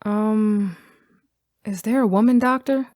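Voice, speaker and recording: A woman's voice: medium pitch, takes it slowly, darkish tone, close to an everyday voice. An actress playing a hesitant but easily impressed young female patient in an audio drama. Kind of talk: naturalistic audio drama acting.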